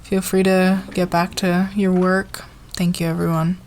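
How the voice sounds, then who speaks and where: A woman playing a flustered, fair-minded company boss in a casual roleplay ASMR, with a halting, informal, giggly manner. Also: lowish voice, flat delivery, a little mellow. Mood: awkward, friendly.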